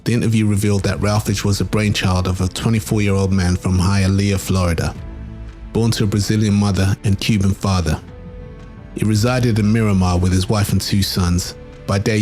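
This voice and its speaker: A man reading scripted documentary narration in a measured, dramatic storytelling manner. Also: deep voice, steady tone, darkish tone, soft background music.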